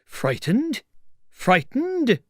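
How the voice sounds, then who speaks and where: A man's voice: fairly high pitch, big pitch swings, measured pace, a little mellow, no echo, clean studio sound, pitched above the performer's own voice. A man voicing a bewildered, frightened, grumbling tramp with plaintive exclamations, as acted storybook dialogue. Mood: alarmed, bewildered.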